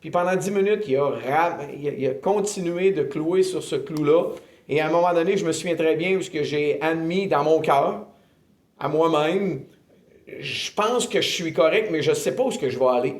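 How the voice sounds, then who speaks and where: A man in his fifties with a Québécois accent, earnestly telling his faith testimony in an interview, often pausing with filler sounds. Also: a little high. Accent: Québécois French. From Quebec, Canada. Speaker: ministry director giving testimony. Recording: roomy echo.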